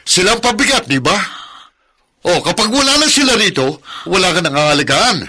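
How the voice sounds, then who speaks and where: A man's voice: sits high, very animated, moderate pace, pushed and bright, slightly rough, full character voice. A man playing a devoted, frustrated young father in a radio drama, earnest and emotional, with a Filipino accent. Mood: bitter, angry. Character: frustrated young father, devoted, losing patience with his wife.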